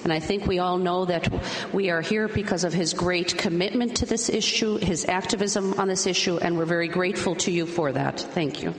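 A woman chairing a conference panel, speaking in a fairly low voice as she formally introduces the next speaker. She is measured and courteous, pausing now and then on an "um". Her voice rings through a large hall with a long reverb tail and a light hiss. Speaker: panel moderator.

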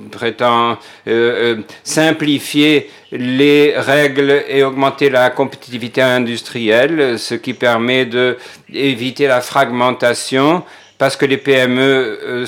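A man's voice: mid-range voice, fairly bright tone. Man hesitantly interpreting in parliament. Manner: hesitant, frequent filler pauses. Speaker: simultaneous interpreter.

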